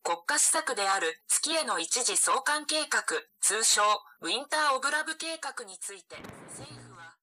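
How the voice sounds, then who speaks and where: Woman playing a bright-voiced news announcer. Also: high voice, animated, normal speed, few pauses. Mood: formal. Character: formal female news announcer. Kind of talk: scripted news bulletin in radio drama.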